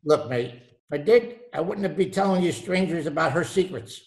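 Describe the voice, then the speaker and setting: A cagey waterfront tattooist with a salty seaman's way of talking, played by a man. His voice sits high for a man, and he is evasive, in old-time radio style over a home video call. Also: moderate pace, gravelly. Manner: salty, evasive, calls people mate. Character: cagey old-salt male tattooist, nautical slang.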